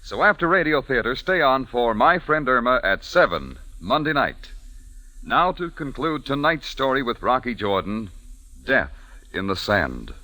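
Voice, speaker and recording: Animated American old-time radio announcer. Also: male voice, medium pitch, unhurried, slight background noise. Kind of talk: 1940s network radio announcing. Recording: vintage 1940s radio broadcast.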